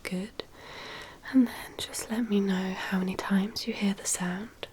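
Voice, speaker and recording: Slow, whispering ASMR woman as doctor. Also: mid-range voice, steady tone, slight background noise. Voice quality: soft, breathy whisper. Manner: gentle, unhurried, reassuring, instructive. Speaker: ASMR performer role-playing a doctor giving a hearing test. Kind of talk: whispered ASMR medical exam roleplay.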